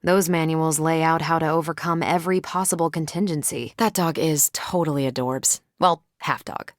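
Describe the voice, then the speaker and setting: An actress voices a female video game superhero with a fairly low voice and a confident, combative streak. She talks in a wry, breezy, assured way. The recording is dry and close, with no room sound. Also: fairly even intonation, normal speed, no room sound, close to an everyday voice. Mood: wry, relaxed.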